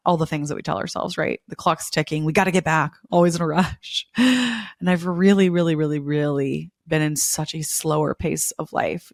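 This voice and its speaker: A young woman hosting a spiritual podcast, a little hoarse from losing her voice, talking in an effusive, repetitive way. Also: on the low side, no room sound, studio quality. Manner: effusive, repeats words for emphasis. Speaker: podcast host. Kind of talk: casual spiritual podcast monologue.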